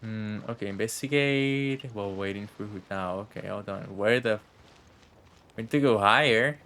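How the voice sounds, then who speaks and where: A man's voice: lowish voice, moderate pace, fairly bright tone, a little hiss or hum. A man giving casual live game commentary as a streamer, musing to himself and his chat. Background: faint game music.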